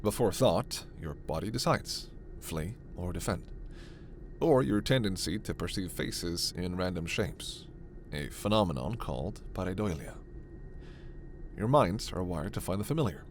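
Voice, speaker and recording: A man playing a gentle, scholarly, protective alien doctor in a sci-fi ASMR roleplay, speaking in a measured, formal, precise way. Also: low-pitched, expressive, slight background noise. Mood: explanatory, earnest.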